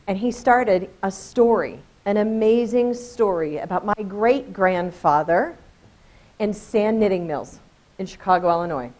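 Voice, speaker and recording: A woman with a loud voice giving a casual, energetic conference presentation, joking with her audience. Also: medium pitch, unhurried. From Colorado. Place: conference room.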